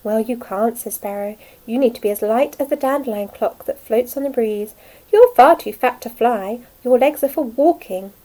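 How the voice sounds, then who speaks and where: A woman's voice: a little high, normal speed, dark tone, lightly acted, rough recording. A woman voicing a blunt, discouraging sparrow with emphatic delivery in a children's storybook. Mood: scolding. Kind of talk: children's storybook read aloud.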